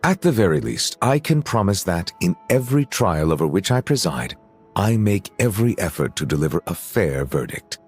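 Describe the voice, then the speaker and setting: A man's voice: low voice, big pitch swings, normal speed, a little mellow, breathy edge, faint music behind, full character voice. A man voicing a dignified, courteous dragon judge in a video game, speaking formally and with awkward hesitation. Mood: earnest, measured.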